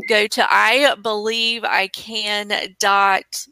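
Bright, enthusiastic woman podcast host. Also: medium pitch, flat delivery, drawn-out words, vocal fry, smooth, dry recording, rough recording. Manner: enthusiastic and effusive. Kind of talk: casual interview chat.

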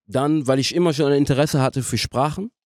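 Young man, podcast guest, mid-range voice, dry close sound, halting casual delivery. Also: medium pitch, darkish tone, dry recording. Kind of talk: casual interview conversation.

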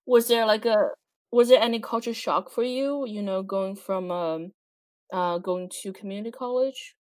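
A woman's voice: mid-range voice, unhurried, darkish tone, no echo. Woman, podcast co-host, encouraging, asks follow-ups, dry close sound. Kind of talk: casual interviewing.

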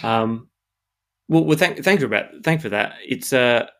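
A man's voice: medium pitch, lively intonation, normal speed, fairly bright tone. A man hosting a business podcast, talking casually and stumbling over repeated words. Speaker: podcast host, sales director.

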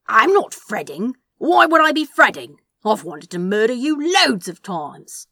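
A woman's voice: on the high side, very animated, a little slow, no room sound, studio quality, voice pushed up, exaggerated voice. Woman doing a brash, swearing British demon. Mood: defensive. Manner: loud, blunt, swearing.

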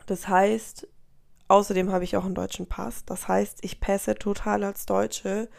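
A woman in her late twenties hosts her own podcast in a soft, rambling monologue. She sounds tired and often corrects herself mid-thought. Her voice has a gravelly, fry-touched edge at a middling pitch. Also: little pitch movement, measured pace. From Colombia. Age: 20s. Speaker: podcast host. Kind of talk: casual personal monologue.

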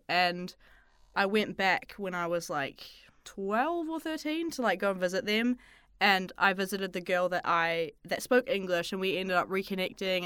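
A woman's voice: fairly low pitch, fairly bright tone, clean tone, no echo, clean studio sound. A young woman with a New Zealand accent, chatting casually on a student podcast, giggling often and filling her speech with "like". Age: young adult. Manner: chatty, giggly, lots of "like" fillers.

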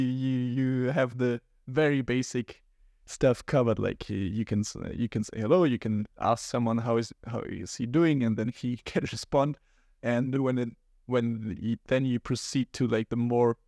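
A man's voice: mid-range voice, a little mellow, no echo, studio quality. A young man with a German accent, chatting as a podcast guest, hesitant and thinking aloud. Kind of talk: casual podcast chat.

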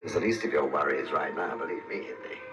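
A man's voice: extremely low pitch, quick pace, a bit bright. An actor playing a grave, cautious museum man in film dialogue. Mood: grave warning. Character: grave, cautious male museum colleague of adventurer. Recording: film music behind dialogue.

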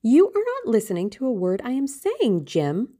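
A woman's voice: a little high, huge pitch swings, moderate pace, mellow, voice pushed up. A woman voicing a dreamy, impulsive teenage girl in love, theatrical and exclamatory, in an audiobook. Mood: playful. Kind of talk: audiobook character acting.

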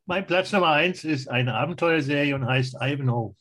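Podcast co-host, male, middle-aged, somewhat high voice, dry humour, conversational. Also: normal speed, a bit bright. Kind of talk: casual chat.

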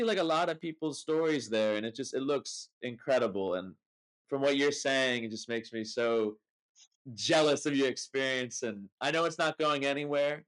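A man's voice: mid-range voice, a bit bright, rough recording. A young man with an American accent hosting a podcast in an informal, rambling, conversational way. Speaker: podcast host. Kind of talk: casual chat.

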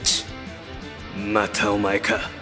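A man's voice: fairly low pitch, completely flat delivery, drawn-out words, music in the background. A man playing an arrogant, hot-tempered lightning mage villain with a sneering, theatrical manner in a fantasy audio drama. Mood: aggressive. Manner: sneering, theatrical, spell-shouting.

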